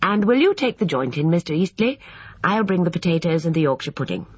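A woman voicing a brisk, capable female cook who gives direct instructions in an audiobook. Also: lowish voice, sing-song, a little mellow, breathy edge, pitched above the performer's own voice. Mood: brisk, practical. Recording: dull, roomy, echoing sound.

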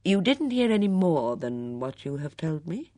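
A woman's voice: low voice, very animated, darkish tone, dry recording, studio quality, voice pushed up. A woman voicing a stern cautious male inspector. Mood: probing. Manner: measured, probing questions.

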